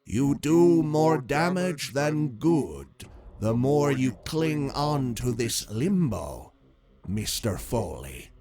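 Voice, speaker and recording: A man voicing a commanding, otherworldly male entity in a storybook reading, speaking slowly with drawn-out words. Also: medium pitch, big pitch swings, a crowd in the background. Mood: ominous. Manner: slow, drawn-out, commanding delivery. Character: booming otherworldly male entity posing as a friend.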